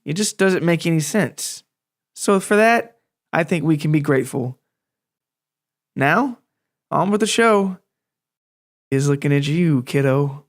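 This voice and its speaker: A man's voice: fairly high pitch, animated, unhurried, long pauses, dry recording, clean studio sound. A man hosting a podcast in a casual, rambling monologue, informal, hesitant and self-deprecating. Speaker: podcast host.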